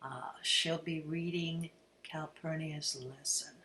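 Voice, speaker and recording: Slow, bright-voiced man, faint noise behind. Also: a little high, fairly even intonation. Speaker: host introducing poets. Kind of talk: event introduction.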